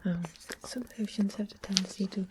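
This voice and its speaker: A woman playing a sweet-seeming witch who makes potions, whispering gently as she explains things in a fantasy ASMR roleplay. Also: mid-range voice, fairly even intonation, normal speed, close to an everyday voice. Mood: gentle, hushed. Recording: close whisper with clear background hiss.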